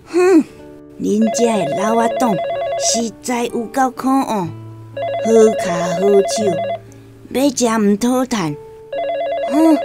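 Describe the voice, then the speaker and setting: A woman playing a simple, stubborn elderly grandmother with a Taiwanese accent in a radio drama, muttering to herself. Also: fairly high pitch. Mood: indignant.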